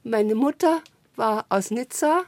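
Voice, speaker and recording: A woman in her eighties, a native French speaker from eastern Germany, calmly recounting her life as an interview guest. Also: on the high side, no echo. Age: 80s. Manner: unhurried, thoughtful storytelling. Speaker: interview guest, former teacher.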